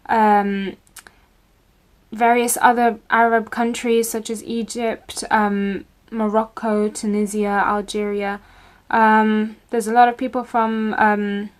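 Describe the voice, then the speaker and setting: A young woman, recording a casual video account at home, talking in a measured way with frequent ums. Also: a little high. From England. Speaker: contributor recording a video report. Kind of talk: casual first-person account. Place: home room with bookshelves.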